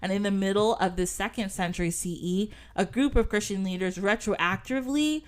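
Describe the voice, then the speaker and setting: A woman's voice: medium pitch, a little fast. A woman hosting a podcast, talking in a chatty, casual way with lots of fillers and frequent giggles, sometimes reading from an article. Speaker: podcast host.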